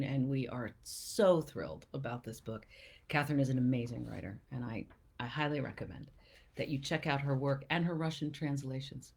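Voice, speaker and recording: A woman who runs a small press, talking casually about a book and then reading a poem aloud, lingering over its lines. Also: a bass voice. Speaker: poet and small press publisher, show host. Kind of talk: casual talk and poetry reading.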